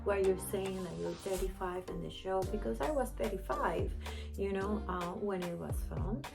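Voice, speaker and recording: A woman's voice: mid-range voice, normal speed, gravelly, over music. Chatty Panamanian woman in her thirties. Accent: Panamanian Spanish. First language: Spanish. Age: 30s. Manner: chatty, candid, frequent fillers like "you know". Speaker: vlogger. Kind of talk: casual chat to camera.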